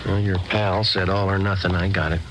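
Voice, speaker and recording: A man playing a matter-of-fact undercover police detective in a 1950s radio crime drama, clipped and deadpan. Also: low-pitched, normal speed, noisy background, close to an everyday voice. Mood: wary, brusque. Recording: vintage radio recording.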